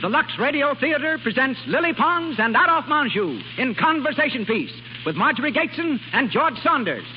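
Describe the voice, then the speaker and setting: A man's voice: extremely high pitch, big pitch swings, normal speed, few pauses. A man announcing a 1930s radio show and its sponsor message in a formal, declamatory style. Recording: dull 1930s radio broadcast, loud music.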